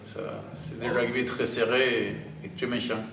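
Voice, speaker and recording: A man with an Argentine Spanish accent, speaking halting non-native French as a rugby player answering press questions. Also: medium pitch, flat delivery. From Argentina (Tucumán, in the north). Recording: roomy, distant microphone, audible background noise.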